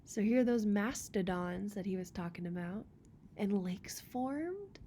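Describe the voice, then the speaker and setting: A woman's voice: medium pitch, animated, measured pace, dark tone. A woman reading a children's picture book aloud as storyteller, expressively, with chatty casual asides.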